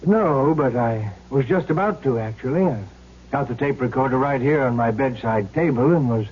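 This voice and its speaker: A man's voice: mid-range voice, normal speed, a little mellow, husky, close to an everyday voice. An actor playing a startled, anxious man in a 1970s radio suspense drama. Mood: casual. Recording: dull vintage radio broadcast.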